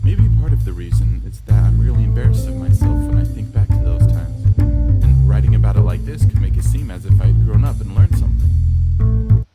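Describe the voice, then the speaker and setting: A man reading a first-person visual novel story aloud in an expressive narrating style. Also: low-pitched, normal speed, vocal fry, rough recording. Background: prominent plucked guitar music.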